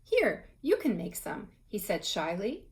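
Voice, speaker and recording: A woman's voice: mid-range voice, huge pitch swings, measured pace. A woman reading aloud and explaining things to children in a conversational way, like a teacher. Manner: conversational, asks the listener questions while reading.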